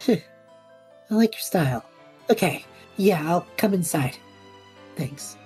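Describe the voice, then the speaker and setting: A woman playing a restless, idealistic young male traveler with a husky voice in an audio drama, casual and earnest. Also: fairly low pitch, animated, slow pace, warm tone, faint music behind. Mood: relieved. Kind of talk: scripted audio drama acting.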